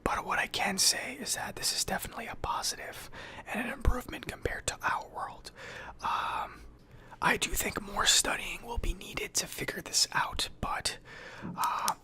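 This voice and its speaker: A man softly whispering an ASMR sci-fi journal roleplay in a breathy, hesitant, thoughtful way, close to the microphone. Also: sits high, wildly swooping pitch, normal speed. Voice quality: breathy whisper and soft speech. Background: computer keyboard typing.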